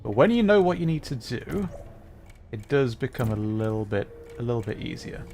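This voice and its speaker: A man's voice: mid-range voice, big pitch swings, moderate pace, creaky, a little mellow, slight background noise. A man casually commentating live gameplay for his chat, thinking aloud and interrupting himself as he goes. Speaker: livestreamer, host.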